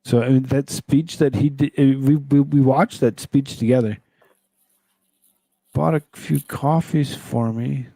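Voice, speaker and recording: A man's voice: medium pitch, fairly even intonation, unhurried, creaky, warm tone, no room sound. A man hosting a political talk show, reading news items aloud and commenting on them in a rambling, conversational way.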